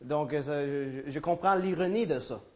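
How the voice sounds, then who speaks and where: A man's voice: on the high side, normal speed. A man from Ontario whose first language is English, giving a live conference talk in French with hesitant, self-deprecating humor and many fillers and restarts. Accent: English-speaking Ontarian speaking French. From Ontario, Canada. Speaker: lecturer. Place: lecture room before a small audience.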